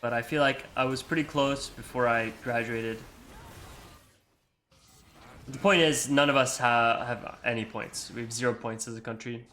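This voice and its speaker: Bright-voiced young man with an American accent. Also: mid-range voice, steady tone, normal speed, a little hiss or hum. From Iceland. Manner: rambling, full of likes and you-knows. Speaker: gamer and video creator. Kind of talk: casual gameplay commentary.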